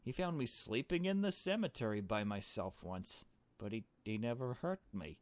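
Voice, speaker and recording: A man's voice: mid-range voice, lively intonation, normal speed, no echo. A man reading a visual novel aloud as narration, as a player commenting on the story along the way.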